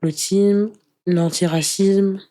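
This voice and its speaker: A woman giving personal testimony as an interview guest in casual conversation, earnest and halting, often repeating words as she searches for the next. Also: mid-range voice, steady tone, mellow. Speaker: interview guest, anti-racism activist.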